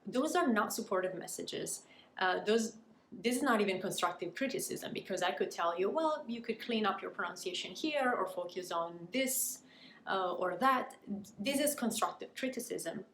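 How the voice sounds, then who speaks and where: A woman from Italy, a native Italian speaker with an Italian accent, gives a prepared educational talk as a language coach, articulate and deliberate in her emphasis. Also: mid-range voice, expressive, fairly bright tone. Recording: echoey room with faint noise.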